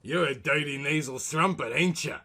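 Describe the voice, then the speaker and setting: A man's voice: a little high, moderate pace, sharp tone, pitched above the performer's own voice. A man voicing a crude, bossy, sleazy goblin-like boss in a comic audio story, with folksy, slangy, leering delivery.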